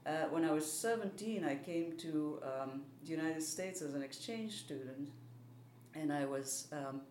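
A woman's voice: sits low, measured pace, a little mellow. Hesitant Belgian-accented woman in a roomy space. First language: French, German and Dutch. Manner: self-deprecating, hesitant. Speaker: artist being interviewed. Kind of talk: casual interview talk. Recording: roomy, faint background noise.

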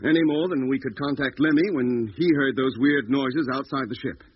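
An actor playing a level-headed but puzzled spaceship doctor, explaining deliberately in a 1950s radio drama. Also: male voice, mid-range voice, lightly acted. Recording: dull, hissy 1950s radio recording.

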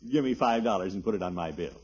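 A man's voice: deep voice, lively intonation, quick pace. An actor playing a pompous, easily flustered middle-aged American man in a 1950s radio comedy, with theatrical blustering. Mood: indignant. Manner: theatrical, blustering, swooping exclamations. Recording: muffled, noisy old radio recording.

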